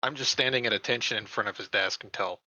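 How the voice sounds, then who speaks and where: Low, bright-edged man, low-key player. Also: fast talker, no room sound, highs cut off. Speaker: tabletop game player. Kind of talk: casual, low-key role play.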